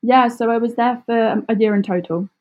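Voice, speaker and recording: A British woman speaking casually as an interview guest, chatty and expressive, often acting out little quotes. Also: on the high side, brisk. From United Kingdom. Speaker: podcast guest, travel blogger.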